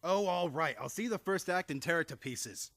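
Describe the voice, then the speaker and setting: An actor playing a flustered, exasperated nephew and theatre critic in a live radio comedy, stammering and theatrical. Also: male voice, fairly high pitch, expressive, normal speed, a bit bright. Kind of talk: live old-time radio comedy acting.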